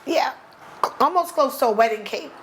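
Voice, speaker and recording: A woman's voice: high voice, expressive, normal speed, a little hiss or hum. An older woman from New York with a New York accent, a baker interviewed as a guest, talking in an easygoing, plainspoken way. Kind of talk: casual interview.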